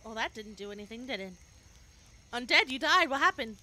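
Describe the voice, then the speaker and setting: Bright, playful woman streamer. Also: a little high, animated, a little fast, slight background noise. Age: older than her friends. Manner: playful, teasing, swears freely. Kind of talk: casual gaming chat with friends. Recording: game music behind.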